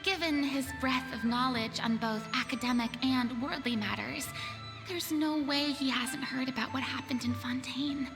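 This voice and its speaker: Refined, high-voiced young female celebrity. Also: normal speed, music in the background. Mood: flustered, modest. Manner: theatrical, formal, prone to flustered stammering. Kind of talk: English video game voice acting.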